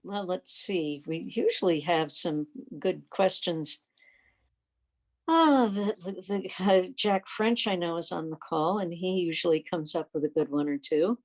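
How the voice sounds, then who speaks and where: A woman's voice: on the low side, unhurried, a little mellow. A woman informally moderating questions in an online club meeting.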